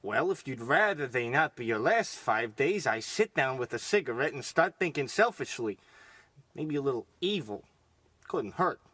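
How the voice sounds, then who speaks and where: A man's voice: mid-range voice, very animated, normal speed, bright, forceful tone, breathy edge, no room sound, heavily acted. A man playing a fussy, oddball doctor in a comic old-time radio style, hesitant and stammering, then grandly pronouncing. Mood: gravely advising. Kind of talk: parody of old radio drama acting.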